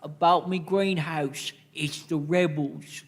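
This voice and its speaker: A man acting a dithering complainant in a radio comedy-drama. The voice is high for a man and very slow. He sounds plaintive and aggrieved, repeating himself hesitantly. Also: steady tone. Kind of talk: live radio-play acting.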